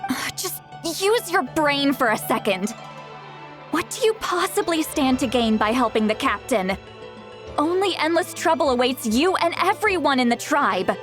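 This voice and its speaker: A woman playing a feisty, scolding granny with a caring streak in a dubbed game, sharp-tongued, exasperated and theatrical. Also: sits high, animated, normal speed. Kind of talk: dubbed video game dialogue. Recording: faint music under the voice.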